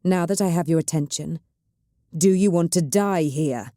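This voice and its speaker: A woman's voice: low-pitched, moderate pace, warm tone, no echo, studio quality, lightly acted. Woman voicing Cheta, a gritted-teeth navigator. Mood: urgent. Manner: gritted-teeth, acid and commanding. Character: battered stubborn woman navigator in chronic pain.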